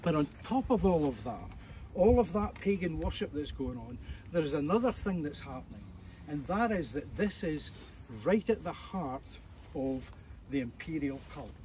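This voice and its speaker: A man's voice: fairly high pitch, very animated, moderate pace, dark tone. A man with a Scottish accent preaching conversationally to a tour group outdoors, with jokes and fillers. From Scotland. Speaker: evangelist. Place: outdoors at ancient ruins. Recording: distant mic outdoors, faint background noise.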